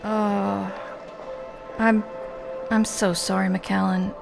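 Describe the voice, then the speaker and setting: A woman playing a breezy, affectionate artist in an audio drama, with a creaky, gravelly voice and a familiar manner. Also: mid-range voice, level tone, drawn-out words, over music, full character voice. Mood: concerned, warm. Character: warm, breezy slender woman artist greeting her friend.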